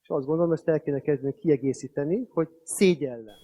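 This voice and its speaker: A man, a priest, giving a public speech in a measured, halting way with long pauses. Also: fairly high pitch, crowd noise. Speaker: priest and school director. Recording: dull lo-fi sound.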